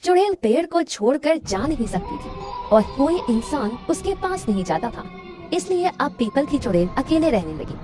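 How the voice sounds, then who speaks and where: A woman's voice: high voice, big pitch swings, normal speed, over music. A woman narrating a scripted moral story for children.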